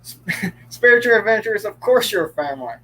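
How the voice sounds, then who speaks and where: A man's voice: high-pitched, animated, fairly quick, pushed and bright, slight background noise, low-quality recording. A young man hosting a casual livestream chat, joking often and laughing easily. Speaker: livestream host.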